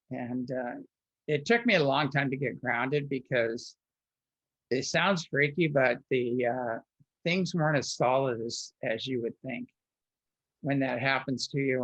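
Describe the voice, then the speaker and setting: A man tells long personal stories as an interview guest, circling back on himself and restarting his sentences. His voice sits at an ordinary pitch. The recording is dull and lo-fi, with no room echo. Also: fairly bright tone. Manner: rambling, with frequent restarts and filler words.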